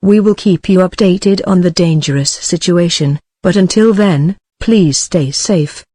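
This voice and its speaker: Casting: text-to-speech alert announcer, medium pitch, very dry sound, scripted warnings. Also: a little slow, mellow, no echo. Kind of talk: scripted emergency broadcast announcement.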